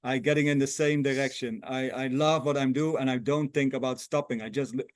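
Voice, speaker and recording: A lifestyle coach in his sixties answers questions earnestly over a video call. He talks at a mid pitch in a dry sound, pausing and restarting as he works his way through his phrasing. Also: fairly even intonation, dry recording. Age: 60s. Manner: earnest, halting non-native phrasing with many restarts. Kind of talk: casual interview.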